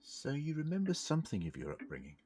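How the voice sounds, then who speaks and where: A man's voice: on the high side, huge pitch swings, fast, darkish tone, slight background noise. A man playing a curious, reassuring space-traveller in an audio drama, polite and a little hesitant. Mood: inquisitive.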